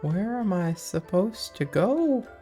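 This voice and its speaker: A woman's voice: medium pitch, very animated, slow pace, mellow, faint music behind. A woman giving casual, dry and offhand commentary as she streams a video game.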